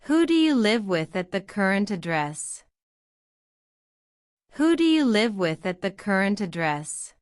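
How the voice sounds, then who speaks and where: Woman, practice-drill reader, repeats every line, unhurried, crisp and dry. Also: mid-range voice, big pitch swings, no room sound. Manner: reads each line twice, slow and deliberate. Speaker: citizenship test practice narrator. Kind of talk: slow repeated question-and-answer drill.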